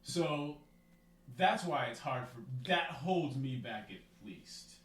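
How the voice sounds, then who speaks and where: Male podcast guest, slow earnest delivery, stutters, roomy sound from off-mic. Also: medium pitch, expressive, a bit bright, distant mic. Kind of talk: casual podcast chat.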